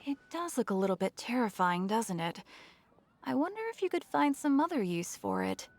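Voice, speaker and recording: A woman's voice: on the high side, big pitch swings, normal speed. A woman voicing a friendly, chatty souvenir shopkeeper in a video game, with a chirpy sales patter. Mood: helpful, musing. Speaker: shopkeeper character.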